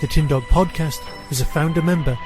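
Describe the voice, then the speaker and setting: A man's voice: a little high, brisk, music in the background. A man speaking as a podcast host. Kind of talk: casual interview chat and scripted announcements.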